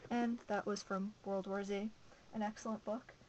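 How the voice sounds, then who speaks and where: A woman's voice: mid-range voice, level tone, normal speed, darkish tone, a little hiss or hum, poor audio. Gushing young woman vlogger rambling happily. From Massachusetts. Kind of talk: casual video blog chat.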